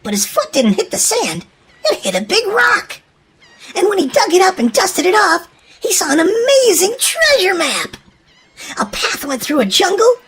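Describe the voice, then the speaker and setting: A woman narrating a children's storybook in a dramatic, emphatic scripted read-aloud style for young listeners. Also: very high voice.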